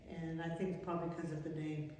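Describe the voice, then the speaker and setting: An elderly woman in her eighties with a Maine accent, a religious sister reminiscing in an interview in a halting, rambling way. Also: sits low, level tone, warm tone, a little hiss or hum. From Maine, United States. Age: 80s. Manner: halting, rambling recollection with trailing sentences. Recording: echoey reverberant room, distant mic.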